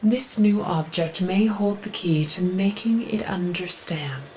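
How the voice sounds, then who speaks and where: An actress playing a devout, earnest female zealot guarding a sacred forest in a video game, reverent, solemn and measured. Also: fairly low pitch, a little mellow, a little hiss or hum, natural voice.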